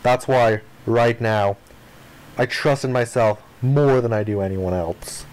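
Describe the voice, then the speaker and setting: A man's voice: mid-range voice, takes it slowly, a little mellow, low-quality recording. A man reading a visual novel aloud as dramatic narration for a game playthrough.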